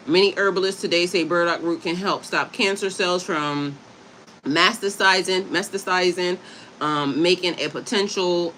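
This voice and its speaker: A woman's voice: on the low side, a bit bright, a little hiss or hum, rough recording. A woman from Delaware hosting a casual livestream, chatting with viewers and reading aloud from a website, stumbling over hard words and spelling them out. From Delaware, USA. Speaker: livestream host and herbalist.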